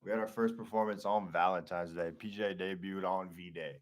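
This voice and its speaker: A man guesting on a music interview, talking excitedly and loosely with plenty of swearing. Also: low voice, moderate pace. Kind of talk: casual interview chat.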